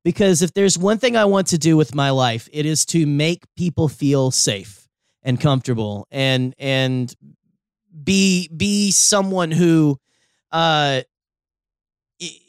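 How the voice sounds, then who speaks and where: A man's voice: a little high, takes it slowly, clean tone, dry recording, studio quality. Earnest, rambling male podcast host. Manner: rambling, earnest, many restarts and fillers. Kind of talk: casual podcast chat.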